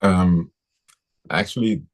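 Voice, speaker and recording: Very deep-voiced Belgian man, hesitant. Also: fairly even intonation. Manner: hesitant, halting, many fillers. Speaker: guest. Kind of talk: personal story on a livestream.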